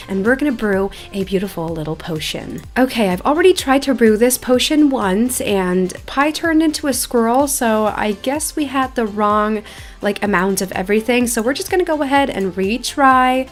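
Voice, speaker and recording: A woman with a fairly high voice hosts a gameplay video. She talks casually and chattily, with a self-deprecating streak, while music plays behind her. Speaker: gaming video host. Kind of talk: casual gameplay commentary, reading quest dialogue aloud.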